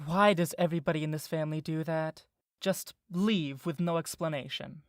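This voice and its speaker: A woman's voice: deep voice, fairly even intonation, moderate pace, no echo, clean studio sound, close to an everyday voice. A woman voicing an irritable younger elf brother in a fantasy audio drama, blunt and defensive. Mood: puzzled.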